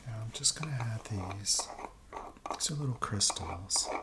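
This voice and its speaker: A middle-aged man in a soft, breathy whisper, murmuring slowly and gently in an ASMR roleplay as a chemist. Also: lowish voice, expressive, background noise. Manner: slow, gentle, unhurried step-by-step murmuring. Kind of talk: ASMR roleplay, soft-spoken personal attention. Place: small indoor set, seated at a worktable.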